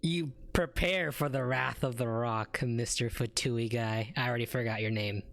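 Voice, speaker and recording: A woman's voice: rumbling low, fairly even intonation, normal speed, creaky. A woman giving casual, playful and teasing reaction commentary while she plays a video game. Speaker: gaming video creator. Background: game music.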